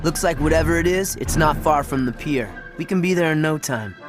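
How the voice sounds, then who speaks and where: A man's voice: a little high, a little fast, natural voice. Guy voicing a cocky teen car guy, kinda dry and blunt, game music under. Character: cocky teen boy mechanic, guarded and blunt. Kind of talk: video game cutscene acting.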